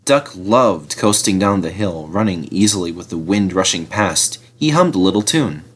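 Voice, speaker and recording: Man narrating with very lively pitch. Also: normal speed, breathy edge. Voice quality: animated, expressive delivery. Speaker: story reader. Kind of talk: scripted storybook narration.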